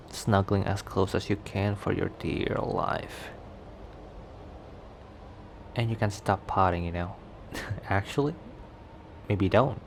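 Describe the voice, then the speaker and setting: Man acting as cocky male werewolf, ASMR roleplay, very slow pace, teasing and flirty, noisy ambient background. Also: sits low, creaky, full character voice. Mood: tender, playful. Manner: teasing, chuckling between phrases.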